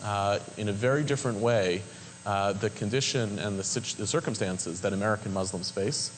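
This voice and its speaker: A man acting as moderator, giving a measured, articulate introduction with frequent ums. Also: fairly low pitch. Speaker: moderator and interviewer. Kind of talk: public talk introduction. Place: large synagogue hall. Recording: long hall reverb, faint noise.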